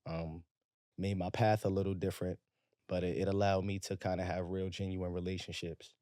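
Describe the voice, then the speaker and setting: A man's voice: deep voice, level tone, moderate pace, mellow, dry recording, studio quality. A man from Harlem with a New York accent, a music artist talking casually as a podcast guest, slangy and laid-back, often repeating himself. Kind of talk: casual conversation.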